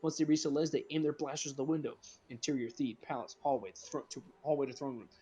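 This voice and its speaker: A man's voice: medium pitch, fairly even intonation, fast, mellow, muffled recording, slight background noise. A man narrating a script informally for an amateur comedy reading, sometimes stumbling over the words.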